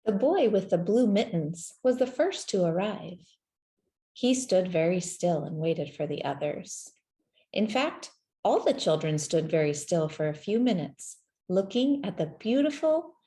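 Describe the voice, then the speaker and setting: A woman reading a children's story aloud in a gentle, measured way, with deliberate pauses between phrases. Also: lowish voice, lively intonation. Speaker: storybook reader. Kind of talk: scripted children's story narration, read aloud.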